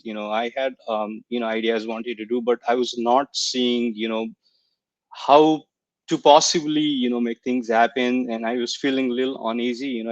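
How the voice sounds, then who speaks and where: A young man from Nepal, a guest talking casually in an online interview, searching for words and filling pauses as he goes. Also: medium pitch, dry recording, dull audio. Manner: hesitant, searching for words, many fillers. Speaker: coaching client guest.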